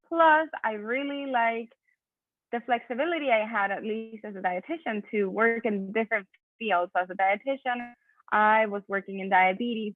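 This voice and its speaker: A woman's voice: mid-range voice, dry recording, phone-quality sound. A woman in her thirties from Venezuela, a native Spanish speaker, presenting her career path to students with a conversational manner and lots of fillers. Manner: conversational, many fillers and self-corrections. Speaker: physician assistant giving a talk. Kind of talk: online presentation with slides. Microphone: video call.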